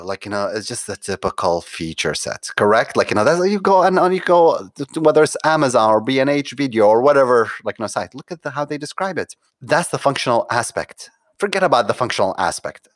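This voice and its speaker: A man's voice: mid-range voice, dry recording, clean studio sound. A man, a guest on a podcast interview, talking casually and animatedly, often saying "like, you know" and acting out what others say. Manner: animated, storytelling with acted-out quotes, frequent "like, you know". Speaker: guest, conversion optimization consultant.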